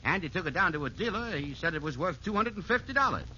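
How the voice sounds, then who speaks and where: Wry, gossipy pal, piercingly bright and animated, folksy radio-comedy delivery. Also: male voice, high voice, fast talker, exaggerated voice. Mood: chatty. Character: chatty, wry male friend, gossipy sidekick. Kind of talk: 1940s radio sitcom acting. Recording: dull, noisy old radio recording.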